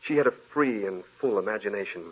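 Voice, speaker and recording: A man's voice: on the low side, expressive, quick pace, husky. An actor in a 1940s radio drama playing a guilt-ridden author who narrates how he fell for a woman he now believes he killed. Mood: wistful. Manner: confessional, anguished. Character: guilt-ridden male author haunted by a dead love. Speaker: narrator of his own story. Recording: tinny old radio broadcast.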